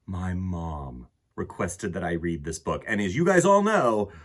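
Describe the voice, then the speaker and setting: A man with a husky voice reading a picture book aloud to children in an expressive way, with dramatic pauses and emphasis. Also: low voice. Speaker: children's storytime host and reader.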